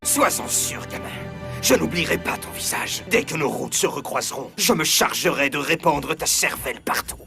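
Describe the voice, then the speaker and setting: A man voicing a brash, vengeful male demon with a gravelly voice in a French anime dub. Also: fairly high pitch, animated, moderate pace, long pauses, music in the background. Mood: menacing.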